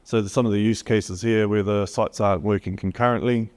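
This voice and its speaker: A man's voice: fairly low pitch, fairly even intonation, brisk, darkish tone. A man with a New Zealand accent giving a technical conference talk, hesitant with frequent fillers as he reads from slides. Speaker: conference presenter. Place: conference hall. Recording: long hall reverb.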